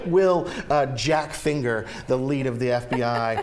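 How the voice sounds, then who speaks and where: Male American guest, average pitch, halting conversational delivery with repeated words. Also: a crowd in the background. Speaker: interview guest, theater company co-founder and writer. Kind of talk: casual interview chat.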